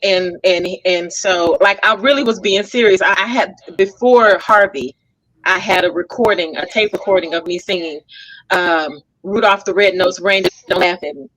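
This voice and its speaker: A woman from Texas, a stage actress guest, answering conversationally in a droll, theatrical and deliberate manner. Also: fairly low pitch, a bit bright, no room sound, rough recording. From Texas, United States.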